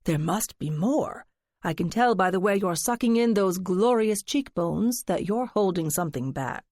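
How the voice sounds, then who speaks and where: A woman's voice: mid-range voice, lively intonation, moderate pace, a little mellow, dry recording, natural voice. A woman narrator plays a flamboyant, theatrical man in an audiobook, his voice swooping up and down with showy flair. He calls people "darling" and savors every dramatic word. It is recorded close and dry, with no room sound. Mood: theatrical, gushing. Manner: theatrical, drawling "darling", flourishing. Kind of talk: audiobook character voice.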